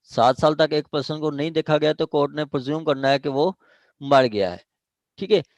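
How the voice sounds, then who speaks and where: A man's voice: a little high, normal speed, no echo, lo-fi sound. A man with a Pakistani accent lecturing on law in an online class, repeating and rephrasing points and often checking that he is followed. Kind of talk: online law lecture, explaining statute articles. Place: indoors, online meeting.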